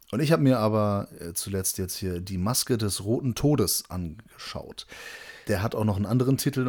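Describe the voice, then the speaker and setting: Lowish-pitched man in casual chat. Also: a little mellow. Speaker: film podcast co-host.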